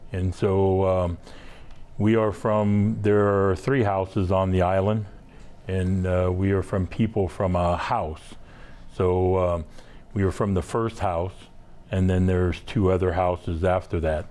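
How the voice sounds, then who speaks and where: A man from Southeast Alaska, a guest on a talk show, telling his family history in an unhurried, halting way with many fillers. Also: sits low, flat delivery, dark tone, clean tone. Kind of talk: casual interview storytelling.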